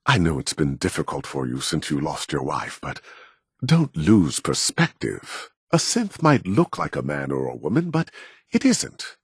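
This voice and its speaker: Deep, husky, arrogant male scientist. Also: no echo, clean studio sound, full character voice. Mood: concerned, admonishing. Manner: measured, formal, self-important, condescending. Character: proud, arrogant middle-aged male robotics scientist. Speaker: video game character voice actor. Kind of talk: scripted video game dialogue.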